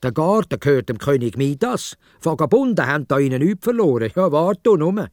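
A man's voice: on the high side, sing-song, moderate pace, a little mellow, no room sound, studio quality, voice pushed up. A man with a Swiss German accent voicing an indignant, scolding gardener in a children's story. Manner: scolding, threatening.

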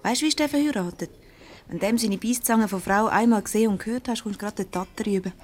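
A sharp-tongued young Swiss German secretary played by a woman, chatty and insinuating, in a radio comedy. Her voice sits at a medium pitch, on an old recording with faint noise. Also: fast talker, natural voice. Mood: gossipy, indignant. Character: gossipy, sharp-tongued, jealous young female office secretary. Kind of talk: light radio comedy play acting. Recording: noisy 1970s radio play recording.

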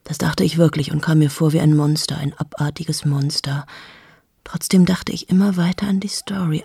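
A woman's voice: fairly low pitch, slightly rough, no room sound, studio quality. An actress in her thirties playing a cold, self-absorbed journalist in a radio drama monologue, talking straight to the listener and often dropping into a whisper. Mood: hushed. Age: 30s. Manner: shifts into hushed whispers, addresses the listener directly. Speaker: monologue speaker.

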